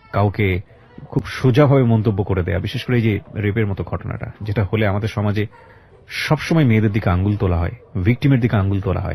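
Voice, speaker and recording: Husky-voiced Bangladeshi man hosting radio. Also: fairly low pitch, darkish tone. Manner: earnest, mixes English words into speech. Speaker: radio show host. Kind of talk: interview with reflective commentary.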